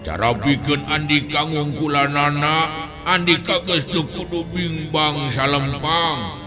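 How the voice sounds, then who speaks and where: Male storyteller as ancient protective spirit, solemn, drawn-out words, extremely unhurried, music behind, audio drama. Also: on the high side, steady tone, voice pushed up. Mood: calm, reassuring.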